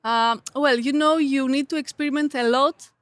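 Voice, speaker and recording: Woman, Greek accent, native Greek speaker, fairly high voice, hesitant casual interview guest, very dry recording. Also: fairly even intonation, moderate pace, dry recording. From Greece. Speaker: interview guest, coffee competitor.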